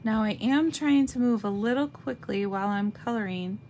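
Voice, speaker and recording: A woman with a slightly raspy voice giving a chatty live craft demonstration, thinking aloud as she stamps and colors. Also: on the high side, a little mellow, dull audio, a little hiss or hum. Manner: slow, chatty, thinks aloud while working.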